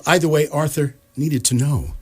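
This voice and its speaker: Man as measured comic sci-fi narrator. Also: fairly high pitch, fairly even intonation, darkish tone, slightly rough. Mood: dry, measured. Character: measured storybook narrator of comic science fiction. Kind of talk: scripted narration.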